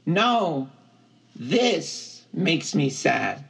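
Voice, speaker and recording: A man voicing a high-pitched, plaintive young satyr. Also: expressive, slow, a bit bright, husky, full character voice. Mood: mournful. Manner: plaintive, lecturing, emphatic. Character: earnest, indignant young male satyr, gloomy about nature.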